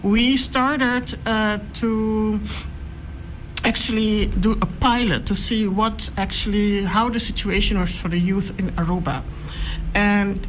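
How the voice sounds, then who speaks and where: A middle-aged woman from Curaçao, a guest researcher answering radio interview questions in a measured, thoughtful way, pausing often to find her words. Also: mid-range voice, slight background noise, rough recording. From Curaçao, raised in the Netherlands. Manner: measured, thoughtful, pauses with "uh" while choosing words.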